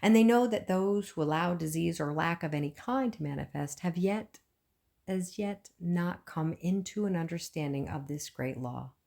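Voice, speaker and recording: A woman reading a book aloud as scripted narration, with measured phrasing and frequent pauses. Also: on the low side, lively intonation, creaky, warm tone. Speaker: audiobook reader.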